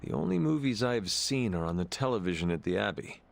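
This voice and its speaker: An actor playing a calm, formal young male hero who speaks in measured tones. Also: on the low side, creaky. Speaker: player character hero. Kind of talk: video game cutscene.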